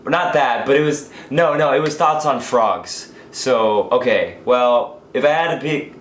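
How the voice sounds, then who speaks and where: A tired young man vlogging casually, hoarse and losing his voice from being sick, rambling and interrupting himself. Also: medium pitch, unhurried, slight background noise. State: tired after a long work shift. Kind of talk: casual daily vlog. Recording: echoey room.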